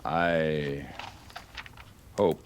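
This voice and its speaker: An actor playing a terse, stern, skeptical cavalry captain in an old radio western. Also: male voice, a bass voice, little pitch movement, very slow, heavily acted. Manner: terse, authoritative. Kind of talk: 1950s radio drama acting. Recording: old radio recording with faint noise.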